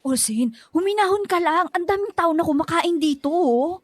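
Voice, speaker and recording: A woman's voice: very high voice, expressive, normal speed, no room sound. A woman acting a pleading young peacemaker in a Filipino radio drama, conciliatory in manner. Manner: coaxing, conciliatory, hesitant.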